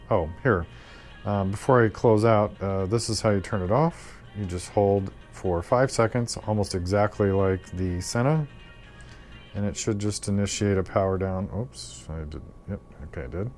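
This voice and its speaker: A man giving a casual, unscripted product review, speaking in a measured way with thoughtful, hesitant phrasing. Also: on the low side, darkish tone. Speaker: product reviewer, video host.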